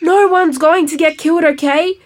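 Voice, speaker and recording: A woman's voice: extremely high pitch, steady tone, moderate pace. An actress playing an exasperated schoolgirl granddaughter in a comic audio drama. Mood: fed up. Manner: exasperated, sighing.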